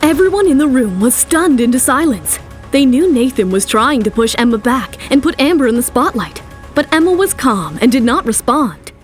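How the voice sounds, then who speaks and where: A woman's voice: sits high, big pitch swings, moderate pace, faint music behind. A woman narrator giving a scripted, dramatic telling of a story.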